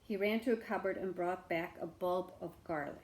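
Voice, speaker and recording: A woman's voice: fairly low pitch, normal speed, darkish tone. A woman storyteller reading a children's book aloud expressively, with asides to her listeners.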